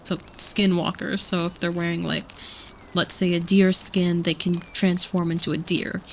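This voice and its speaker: A woman's voice: lowish voice, flat delivery, moderate pace, fairly bright tone, a little hiss or hum, low-quality recording. A woman playing a nervous student who rambles through spooky folklore in a hesitant, casual way, repeating herself, in an audio roleplay. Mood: nervous excitement. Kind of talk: casual campfire storytelling roleplay.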